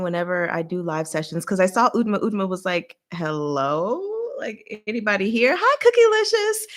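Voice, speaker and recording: Female livestream host, medium pitch, informal and rambling, giggly, reacts with animation. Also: fairly bright tone. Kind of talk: rambling casual livestream chat.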